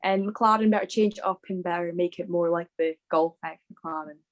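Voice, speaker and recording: A woman's voice: on the low side, steady tone, a little fast, highs cut off. A woman chatting casually as a panellist, with a gushing, fan-like way of talking. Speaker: motorsport podcast panellist. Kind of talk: casual chat.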